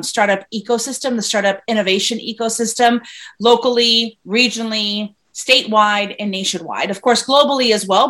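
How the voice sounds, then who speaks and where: A woman's voice: mid-range voice, fairly bright tone. A woman from Venezuela, a guest investor on a video call, talking through her background in a chatty way with many fillers and restarts. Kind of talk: casual interview conversation.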